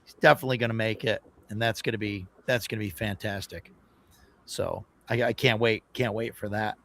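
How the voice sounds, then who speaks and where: A man's voice: fairly low pitch, fairly even intonation, brisk, gravelly, fairly bright tone. A man co-hosting a casual podcast, agreeable and filler-heavy, his voice slightly worn from a busy weekend. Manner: agreeable, lots of "yeah" and fillers. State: voice still recovering, slightly worn.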